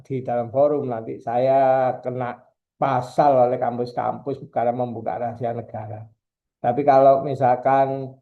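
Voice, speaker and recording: A man's voice: mid-range voice, dull audio. A middle-aged male university lecturer, giving a chatty, digressive classroom lecture and teasing his students.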